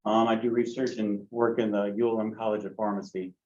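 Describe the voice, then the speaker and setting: A male graduate research assistant introducing himself hesitantly, with pauses and fillers. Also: on the low side, flat delivery, darkish tone. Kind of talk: self-introduction at a group meeting. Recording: muffled, echoey room.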